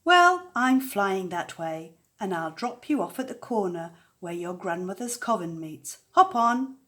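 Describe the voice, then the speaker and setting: A woman's voice: medium pitch, very animated, unhurried, fairly bright tone. A woman voicing a friendly, helpful male wizard neighbour in a storybook, with a hearty, emphatic manner.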